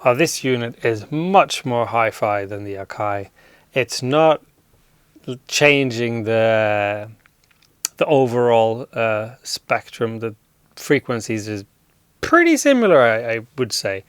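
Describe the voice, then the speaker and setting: A man hosting a casual hands-on audio gear demo, relaxed and appreciative as he thinks aloud. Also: medium pitch, takes it slowly, smooth, no room sound. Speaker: host demonstrating audio gear.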